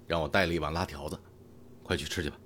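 An actor playing a weary, caring young man who works at an observatory in an audio drama, low-key and gruffly brotherly. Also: fairly even intonation, quick pace. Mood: gently chiding. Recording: noisy track with keyboard clicks.

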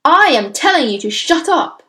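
A woman's voice: high voice, sing-song, moderate pace, sharp tone, voice pushed up, heavily acted. A woman voicing an enraged, tyrannical headmistress in a storybook, bellowing venomous insults and bullying threats. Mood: bellowing fury.

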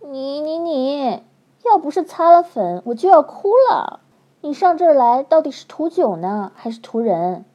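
A woman's voice: high voice, sing-song, normal speed, dark tone, muffled recording, pitched above the performer's own voice. A woman voicing a clingy, heavily made-up young widow who talks in a coaxing, baby-talk way. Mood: whiny.

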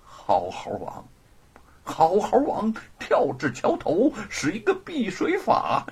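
A man performs a condemned scholar from a storybook, proud and defiant. His voice is very husky and swings widely in pitch. He speaks with haughty, deliberate emphasis. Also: huge pitch swings, darkish tone, heavily acted. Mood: absorbed.

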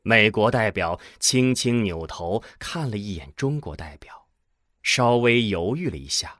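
A man's voice: lowish voice, animated, unhurried, fairly bright tone, dry recording, clean studio sound. A man reading dramatic scripted narration as the narrator of an audio drama.

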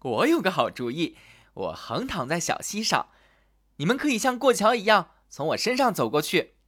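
A man's voice: sits high, big pitch swings, full character voice. A man voicing a proud, bossy male wheat straw in a children's storybook tale, speaking boastfully. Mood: confident. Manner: boastful, quick and loud.

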